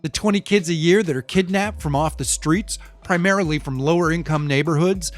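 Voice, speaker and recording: An actor playing a young, streetwise city cyclist who talks in a rambling, conspiratorial way with slangy, profane street talk, over a soft music bed. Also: male voice, on the high side, a little fast, natural voice. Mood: wry. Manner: rambling, conspiratorial, shifts between wry and fired-up. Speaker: local bike courier interviewed. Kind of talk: audio drama monologue.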